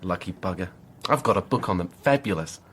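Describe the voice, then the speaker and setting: An actor playing a chatty, eager landscape gardener in a radio drama, informal and enthusiastic. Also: male voice, low voice, animated, brisk, fairly bright tone, breathy edge, exaggerated voice.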